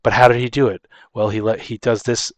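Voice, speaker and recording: A man talks casually as a podcast host and dungeon master, with a mid-pitched voice. He rambles, circling back and restarting phrases. The sound is dry, with very little room on it. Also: flat delivery, fairly quick, no echo. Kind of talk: casual tabletop gaming advice chat.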